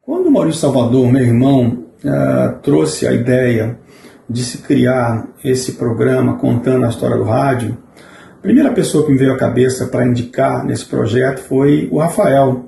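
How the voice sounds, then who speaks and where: A man's voice: medium pitch, gravelly, dark tone. A middle-aged Brazilian man giving a reflective spoken testimonial. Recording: roomy echo, background noise.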